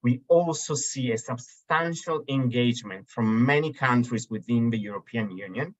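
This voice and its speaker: A man's voice: mid-range voice, normal speed. A man in his thirties with an Italian accent presenting slides on a webinar, hesitant, with many fillers and restarts. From Italy. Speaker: webinar host for a marketplace. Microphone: video call. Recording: dull lo-fi video call audio.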